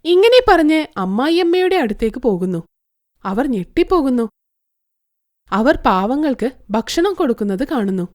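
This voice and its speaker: A woman narrating an animated moral story in a scripted way, with an even, plain and steady delivery. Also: high voice, normal speed, no room sound, studio quality.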